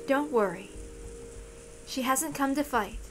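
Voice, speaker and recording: A woman voicing a composed, motherly witch who speaks with gentle, genteel courtesy. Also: high-pitched, slow, over music. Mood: courteous, composed.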